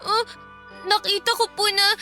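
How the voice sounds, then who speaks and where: A timid young niece in a radio drama, her voice very high and bright. She answers meekly and politely, pleading and fearful. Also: little pitch movement, moderate pace, soft background music, full character voice. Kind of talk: classic radio drama acting.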